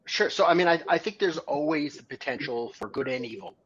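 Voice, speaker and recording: A man on a conference panel starting his answer in a brisk, plain way. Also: on the high side, expressive, a bit bright, slight background noise. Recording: dull lo-fi sound.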